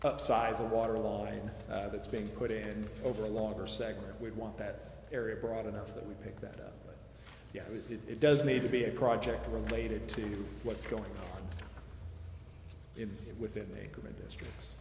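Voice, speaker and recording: A man explaining details at a public meeting in a measured, qualifying way. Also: lowish voice, little pitch movement, vocal fry, darkish tone. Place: large echoey meeting room, council chambers. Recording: echoey hall, background hiss.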